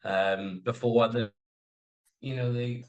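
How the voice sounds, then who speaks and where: A man's voice: medium pitch, steady tone, slow pace. A Welsh man co-presenting a live online workshop, upbeat as he quotes figures and invites listeners to join in.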